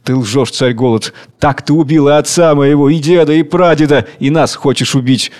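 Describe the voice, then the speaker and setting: A man's voice: on the high side, normal speed, a little mellow, close to an everyday voice. A man acting an embittered, defiant old worker with a colorless voice. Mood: accusing.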